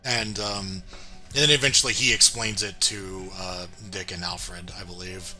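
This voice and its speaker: A man co-hosting a casual review, agreeable and hesitant as he thinks out loud. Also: low-pitched, steady tone, measured pace, over music. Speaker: co-host of a cartoon review show.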